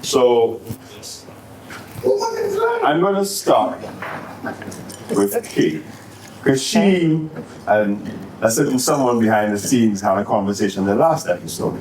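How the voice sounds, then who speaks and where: A man's voice: medium pitch, animated, takes it slowly, long pauses, a little mellow, distant mic. A man hosting a podcast who asks teasing, probing questions in casual chat. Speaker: podcast host. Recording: roomy, off-mic, faint noise.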